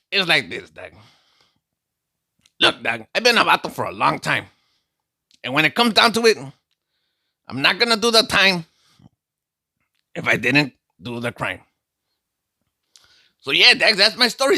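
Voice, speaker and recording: A man doing a cocky streetwise vato, boasting through a comic tale in slang. The voice sits a bit high and is very bright, swinging up and down with lively intonation. It is recorded close and dry. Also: measured pace, gravelly, long pauses, dry recording, heavily acted. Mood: swaggering. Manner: slangy, calls listener "dog" constantly, boastful storytelling. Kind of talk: comic character monologue.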